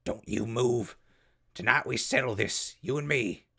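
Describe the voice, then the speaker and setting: An actor playing a hot-tempered backwoods hermit in a comedy audio drama, folksy and threatening, with a rural Southern US accent. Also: male voice, medium pitch, unhurried, creaky, bright, forceful tone, husky, dry recording, clean studio sound, exaggerated voice. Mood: menacing. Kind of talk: post-apocalyptic comedy audio drama acting.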